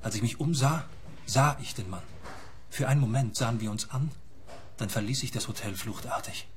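A man's voice: medium pitch, moderate pace, a little hiss or hum, natural voice. An actor playing an earnest, traumatised and stubborn male client in a radio play, speaking seriously and insistently. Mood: earnest, troubled.

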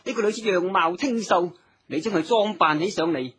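High, husky, fawning con man. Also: moderate pace, fairly bright tone. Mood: coaxing. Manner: coaxing, ingratiating. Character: sly male accomplice, fawning smooth-talking con man. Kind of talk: Cantonese radio drama acting.

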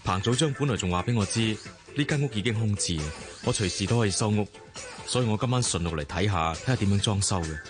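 A male actor plays a composed businessman with a low voice. He is polite but firm and matter-of-fact, in radio drama style, with music clearly behind him. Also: close to an everyday voice. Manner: polite, measured, formal. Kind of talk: Cantonese radio drama acting.

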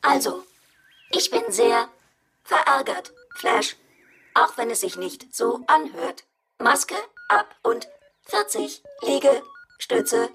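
A strict, officious robot from a children's radio play. The voice is high and very swoopy, with slow, stilted delivery and a glitchy streak, over clear background music. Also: female voice, very animated, a bit bright, heavily acted. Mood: stern, matter-of-fact. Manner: stilted, officious, stuck repeating words. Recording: music behind.

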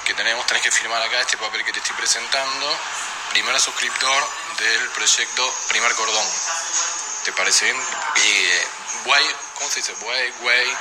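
A man's voice: mid-range voice, steady tone, moderate pace. A man with an Argentine accent doing an informal interview. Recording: noisy on-location interview recording.

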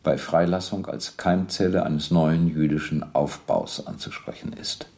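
A man's voice: very deep voice, measured pace, vocal fry, darkish tone, slight background noise, exaggerated voice. An actor playing a methodical male police captain in a radio-play interrogation, formal, precise and insistent.

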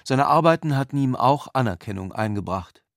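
A man reading scripted literary narration for a radio play in a measured, articulate way. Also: medium pitch, lively intonation, gravelly, no echo, studio quality.

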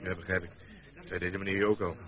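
A policeman in a radio drama with a low voice. He is firm and commanding, yet courteous in an official way. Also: little pitch movement, fast, a bit bright. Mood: polite, official. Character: authoritative, polite policeman at accident scene. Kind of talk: radio play acting.

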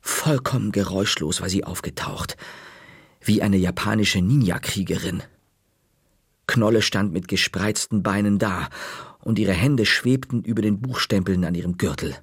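Husky low-voiced male narrator. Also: dry recording, studio quality. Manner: even, measured storytelling. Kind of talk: audiobook narration.

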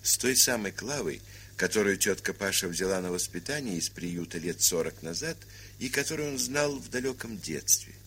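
A man's voice: sits low, animated, moderate pace, a bit bright. A man narrating an audio play as a composed literary storyteller. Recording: hissy, humming 1970s record.

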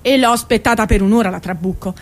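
A woman's voice: mid-range voice, fast talker, soft background music, close to an everyday voice. A woman playing a coarse, aggrieved poor mother in a stage drama, ranting in a repetitive, foul-mouthed, colloquial way. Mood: indignant, boastful. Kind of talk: theatrical monologue acting.

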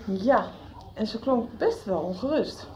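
Inquisitive little sister, animated higher voice. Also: female voice, a little slow, rough recording. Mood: confiding, curious. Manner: questioning, insistent. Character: inquisitive, loyal young schoolgirl, somebody's little sister. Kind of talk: Dutch radio soap acting. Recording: 1990s radio soap production.

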